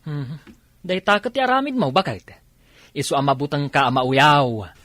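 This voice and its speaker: An actor playing a stubborn, grumbling, defensive husband in an Ilocano radio comedy drama. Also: male voice, fairly high pitch, sing-song, moderate pace, fairly bright tone, no room sound.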